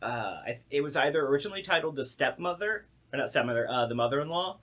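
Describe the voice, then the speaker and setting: A man's voice: a little high, lively intonation, fast, fairly bright tone. A man chatting casually and informally as the director of a radio play, with a sardonic, joking streak. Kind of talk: casual group podcast chat.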